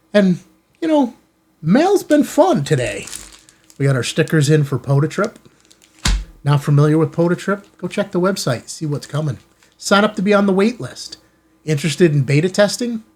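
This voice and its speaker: An American man hosting a ham radio channel, chatting informally and casually to viewers as he shows off gear. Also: fairly high pitch. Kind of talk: casual product unboxing chat to viewers. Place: indoor studio in an office building.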